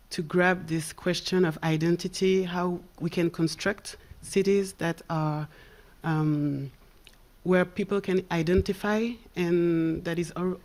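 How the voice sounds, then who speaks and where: A woman's voice: on the low side, a little mellow. A woman architect from Cameroon asking a question from the audience, hesitant and searching for words.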